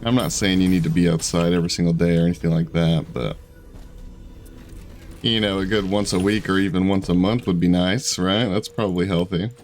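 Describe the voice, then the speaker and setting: A man in his twenties giving casual, chatty gameplay commentary from his room, self-deprecating and quick to laugh. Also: low voice, steady tone. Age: 20s. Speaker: gaming streamer. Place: home room at a desk.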